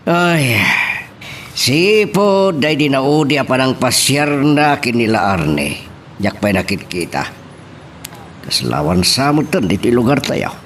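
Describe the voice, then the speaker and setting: A man playing a caring older rural father who chides gently in an Ilocano audio drama. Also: mid-range voice, sing-song, takes it slowly, slight background noise, full character voice. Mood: weary, earnest.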